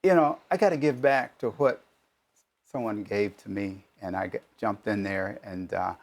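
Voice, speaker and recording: A man's voice: fairly low pitch, normal speed, a little mellow. A man in his seventies, a plain-spoken interview guest sharing personal experience. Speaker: retired volunteer tutor, interview guest.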